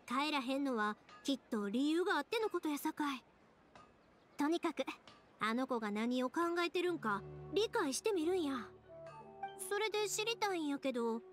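High-pitched spirited Kansai young woman. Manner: brisk, reassuring, informal. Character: spirited, kind-hearted young woman in red kimono. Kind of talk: Japanese anime game voice acting.

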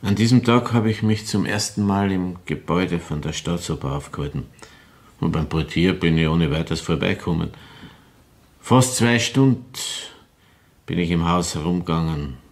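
A bitter viennese killer confessing in a radio play, really low voice, slow and brooding. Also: male voice. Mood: grave, confessing. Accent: Viennese Austrian. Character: confessing opera-house murderer, brooding, bitter woman-hater in his thirties. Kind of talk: crime radio drama acting.

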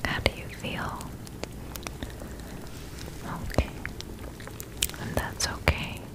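A young adult woman performing a whispered ASMR roleplay close to the mic, gentle and soothing as a friendly witch. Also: measured pace. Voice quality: breathy, unvoiced whisper.

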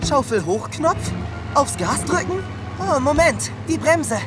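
An actor voices an earnest young cartoon puppy, willing but a bit unsure. The voice is high and childlike, with music clearly behind it. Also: big pitch swings, takes it slowly, full character voice. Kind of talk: children's cartoon audio drama dialogue.

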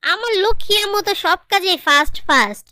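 A woman's voice: very high-pitched, animated, fairly quick, sharp tone, no room sound, studio quality, full character voice. A woman voicing a pampered young married daughter in a comedy cartoon, girlish and coaxing, with a Bangladeshi accent. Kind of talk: comedy cartoon dialogue in colloquial dialect.

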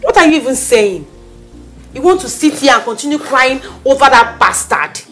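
A woman's voice: mid-range voice, very animated, a little slow, soft background music. A woman with a Nigerian accent acting a bossy, loyal young friend in a drama, speaking emphatically with Pidgin slang. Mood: exasperated, insistent. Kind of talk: naturalistic film drama acting.

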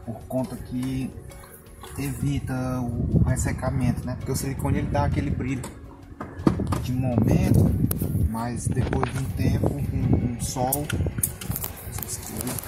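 A man's voice: medium pitch, level tone, measured pace, long pauses, loud music behind the voice, rough recording. A Brazilian man in his thirties chatting casually in a parked car outdoors while cleaning it, explaining each step. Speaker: vlogger. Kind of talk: casual vlog chat.

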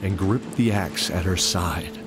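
Deep male narrator, dramatic scripted storytelling, music playing behind. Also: a little slow.

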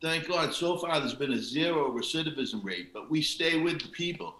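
A man's voice: fairly high pitch, lively intonation, moderate pace, a bit bright. A middle-aged man on an interview over a video call, explaining his recovery work in a matter-of-fact way. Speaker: guest, recovery coach. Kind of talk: casual interview talk. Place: room in a house. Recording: echoey room on video call.

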